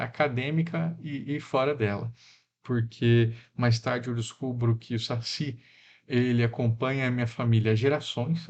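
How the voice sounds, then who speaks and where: A man from Mato Grosso do Sul, Brazil, giving a lecture in a reflective, unhurried way, with frequent fillers and tag questions. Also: medium pitch, a little mellow, dull audio. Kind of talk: recorded lecture.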